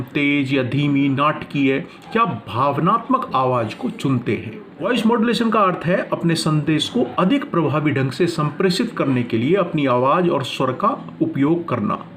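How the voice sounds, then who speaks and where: A man teaching in a deliberate, didactic way, narrating a prepared lesson and explaining it with emphasis. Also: a little high, expressive, a bit bright, a little hiss or hum.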